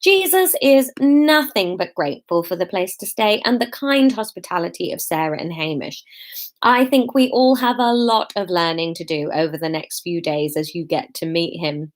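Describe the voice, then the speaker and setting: A woman's voice: lowish voice, animated, natural voice. A woman voicing an earnest male village vicar who addresses a meeting formally, with some sternness, in a comic story. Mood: formal, welcoming. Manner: formal, measured public address.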